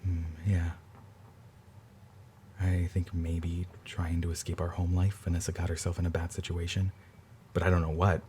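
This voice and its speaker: A man playing a grieving older brother who speaks quietly and haltingly. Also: deep voice, monotone, a little hiss or hum, natural voice. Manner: halting, quiet, restarts under strain.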